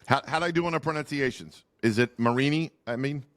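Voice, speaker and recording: A man's voice: mid-range voice, a little fast. A man hosting an interview podcast, introducing guests and joking at himself about how he says their names. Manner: lively, self-deprecating about name pronunciations. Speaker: podcast host.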